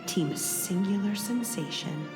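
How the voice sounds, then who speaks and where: An actress playing an eager, admiring, slightly clueless sidekick in a comic radio play. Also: female voice, mid-range voice, lively intonation, unhurried, natural voice. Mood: eager, hesitant. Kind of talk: comedic audio drama acting. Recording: music bed underneath.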